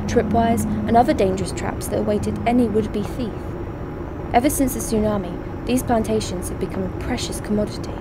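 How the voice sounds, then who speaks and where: A woman's voice: medium pitch, natural voice. A woman playing a tough, tense heist operative who narrates her own story in a radio drama. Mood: narrating, wary. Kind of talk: radio drama first-person narration with acted dialogue. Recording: noisy recording with vehicle ambience.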